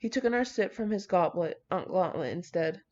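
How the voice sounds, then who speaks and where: A woman's voice: on the low side, expressive, brisk, darkish tone. A woman reading and reacting to fan fiction in a casual, brash, slangy and dramatic way. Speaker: video creator reading fan fiction aloud with commentary. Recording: dull lo-fi audio.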